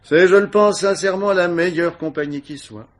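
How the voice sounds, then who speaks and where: An actor playing an urbane, witty, self-absorbed older gentleman of leisure in a radio mystery, speaking with mannered, ironic asides. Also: high-pitched, normal speed, close to an everyday voice. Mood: breezy, wry. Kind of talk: vintage French radio mystery acting.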